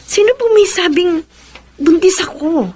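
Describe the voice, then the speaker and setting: An actress playing a soft-hearted young woman, sincere and emotive, in a Filipino radio romance drama. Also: very high-pitched, lively intonation, measured pace. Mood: defensive. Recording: music bed behind the voice.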